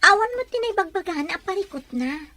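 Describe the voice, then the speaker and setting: Young female confidante, very high voice, concerned and careful, Ilocano accent, radio drama woman performer. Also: lively intonation, a little fast, sharp tone, exaggerated voice. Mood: guarded. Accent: Ilocano Filipino.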